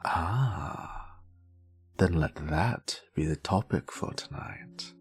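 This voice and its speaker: Casting: grumpy, haughty British male wizard played by a male actor for audio roleplay; low, creaky voice, sardonic and theatrical. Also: animated, drawn-out words, faint music behind, heavily acted. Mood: condescending, inviting. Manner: theatrical, haughty, drawling, dry sarcasm. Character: grumpy, sassy male wizard, exiled former professor. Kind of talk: scripted character monologue, audio roleplay.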